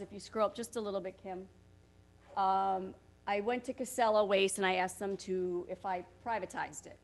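Plainspoken woman presenting. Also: mid-range voice. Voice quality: loud voice. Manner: plainspoken, talks through her figures with frequent "so what I did". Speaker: property manager. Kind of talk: presentation at a town work session. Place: council chambers. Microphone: room microphone.

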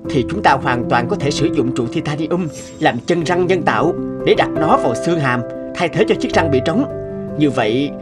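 An earnest, excitable inventor doctor from a cartoon, acted by a man. His voice sits a little high and swings with eager animation. He sounds keen and sincere. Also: close to an everyday voice. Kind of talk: cartoon dub acting.

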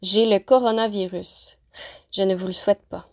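Woman teaching slowly. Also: medium pitch, fairly even intonation. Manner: slow, carefully articulated example phrases. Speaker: French-as-a-second-language teacher. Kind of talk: clear, deliberate vocabulary lesson narration.

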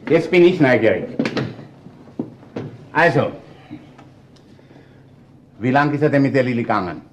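An actor playing a gruff, shrewd middle-aged Viennese chief inspector in a radio crime drama, speaking bluntly and colloquially. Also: male voice, medium pitch, expressive, a little slow, background noise, close to an everyday voice. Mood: stern, probing. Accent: Viennese Austrian. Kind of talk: German-language radio crime drama acting.

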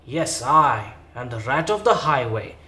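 Bullying rat bandit, put on by a man reading a storybook, loud, gloating, boomy echoey room. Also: sing-song, a little slow. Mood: bellowing. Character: greedy bullying male rat highwayman.

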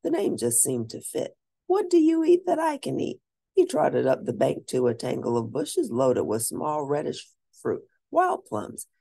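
Gravelly, animated woman storyteller. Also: low voice, dry recording. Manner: animated, deliberate, lingering on key words. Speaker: storyteller reading a children's book. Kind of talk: expressive read-aloud narration of a children's story.

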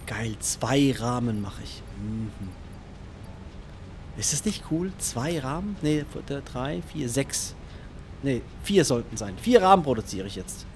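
A man's voice: mid-range voice, very animated, slow, a little hiss or hum. A man giving casual let's play commentary, thinking aloud and chatting to himself and his viewers.

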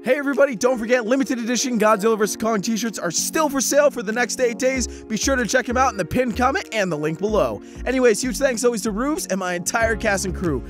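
A man's voice: very high voice, animated, fast, hardly any pauses, music in the background. A man hosting a video with an upbeat promotional delivery. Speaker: host and narrator.

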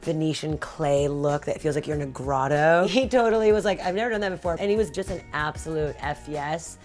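A woman's voice: low voice, fairly quick, a little mellow, faint music behind. A woman in her thirties showing guests around her home in flowing, enthusiastic casual talk, full of "sort of" and "like". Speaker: homeowner giving a house tour. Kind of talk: casual tour chat. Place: indoor house rooms.

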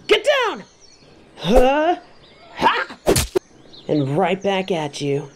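Woman as confident tiger warrior woman, stern, wry, matter-of-fact, mid-pitched, audio drama, clear ambient noise. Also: huge pitch swings, drawn-out words, exaggerated voice. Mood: urgent. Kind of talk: scripted audio roleplay. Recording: clear ambient sound effects behind voice.

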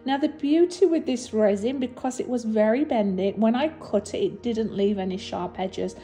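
A woman with a slightly husky voice, low on energy after surgery, chatting through a voice-over for her resin craft video with fillers and restarts. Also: normal speed, mellow, faint music behind. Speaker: resin artist and channel host.

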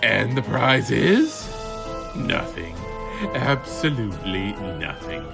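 A man's voice: on the low side, big pitch swings, slow, gravelly, over loud music, pitched below the performer's own voice, exaggerated voice. A man voicing a sly, ominous carnival barker with a showy, mock-polite manner. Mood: sly showmanship.

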